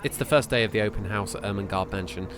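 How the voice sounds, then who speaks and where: A man's voice: fairly low pitch, quick pace, natural voice. Man reading as a casual young woman, noisy background. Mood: hesitant, flustered. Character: friendly young woman estate agent, game's heroine, slightly flustered.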